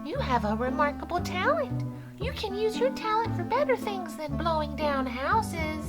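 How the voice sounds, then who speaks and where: A woman voicing a small, plucky, kindly male pig from a storybook in a squeaky voice, over music. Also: sing-song, normal speed. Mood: kind, encouraging. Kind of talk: storybook character voice. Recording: loud music under the voice.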